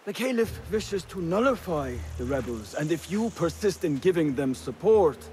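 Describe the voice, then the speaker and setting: Formal, higher-pitched cautious envoy. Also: male voice, sing-song, moderate pace, a little mellow, a little hiss or hum. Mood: guarded warning. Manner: formal, guarded. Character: cautious male political envoy warning rebel allies. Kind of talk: scripted video game cutscene acting.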